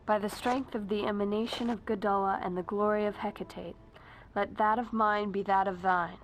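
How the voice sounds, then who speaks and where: A woman's voice: medium pitch, steady tone, moderate pace, slight background noise, natural voice, rough recording. An actress playing a shy young female witch who speaks hesitantly and stammers. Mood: timid. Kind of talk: video game voice acting.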